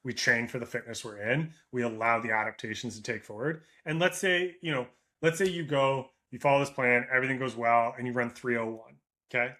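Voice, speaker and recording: Man, Canadian accent, middle-aged, running coach, casual call, animated asides. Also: medium pitch, a little fast. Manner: animated, acts out runners' thoughts in quoted asides; ends points with "right?".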